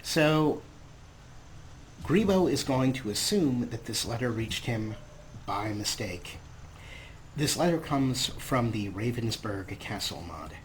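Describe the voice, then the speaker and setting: A man's voice: mid-range voice, animated, slow, a little hiss or hum, poor audio. A man giving casual, explanatory let's play commentary in a chatty manner as the host of a gaming video.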